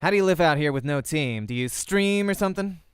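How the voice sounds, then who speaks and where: A man's voice: a little high, animated, fairly quick, clear, resonant tone, no echo, clean studio sound, voice pushed up, close to an everyday voice. A man voicing a brash, flippant young woman pro gamer who talks in a curt, interrogating way in a visual novel. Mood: challenging.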